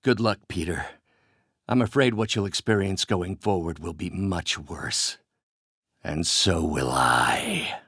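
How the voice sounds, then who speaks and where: An actor playing an older scientist in a video game, an earnest, proud and increasingly bitter mentor with deliberate, articulate, theatrical delivery. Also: male voice, low voice, fairly bright tone, slightly rough, dry recording, clean studio sound, full character voice. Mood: bitter, disappointed. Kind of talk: dramatic video game voice acting.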